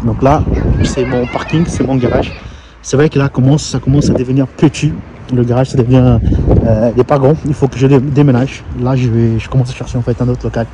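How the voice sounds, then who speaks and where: A man's voice: mid-range voice, mellow. A man from Brazil with a Brazilian Portuguese accent, a heavy-truck mechanic telling his story in a casual vlog, hesitant with many fillers and restarts. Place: outdoors at a truck yard and garage. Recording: outdoor street noise behind.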